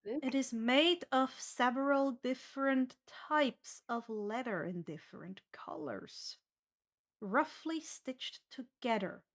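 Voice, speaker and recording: High-voiced woman running a fantasy game. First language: not English. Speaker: game master. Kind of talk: narrating a tabletop fantasy game.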